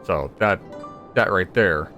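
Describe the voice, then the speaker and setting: A man's voice: sits low, slow pace, sharp tone. A tired man doing casual gameplay commentary, musing aloud and swearing freely. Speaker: gamer commenting on playthrough. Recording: game music clearly behind the voice.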